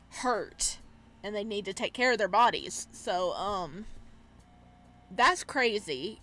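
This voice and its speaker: A woman gaming streamer talks in a fairly high voice. Her commentary is casual and expressive, full of exclamations, in the manner of a playthrough.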